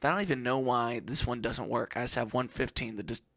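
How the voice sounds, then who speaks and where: A man's voice: medium pitch, a little fast, fairly bright tone, dry recording. A man hosting a podcast, chatting loosely about music gear in a rambling, joking way with casual swearing.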